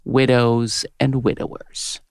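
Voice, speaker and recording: A woman in her fifties playing a crude, cynical radio host with a smoker's gravelly voice in a comedy audio drama. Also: extremely low pitch, little pitch movement, takes it slowly, no echo, studio quality, heavily acted. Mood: brash, cynical. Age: 50s. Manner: brash, foul-mouthed, switching to syrupy on air. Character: crude, cynical, hard-bitten 57-year-old female radio host.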